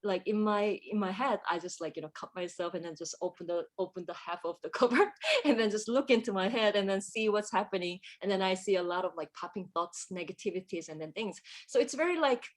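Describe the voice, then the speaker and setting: A woman talks through her thoughts slowly and reflectively, pausing with fillers as she searches for the right English words. Her voice sits at an ordinary middle pitch for a woman. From Korea. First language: Korean. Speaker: composer, interview guest. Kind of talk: casual conversational interview.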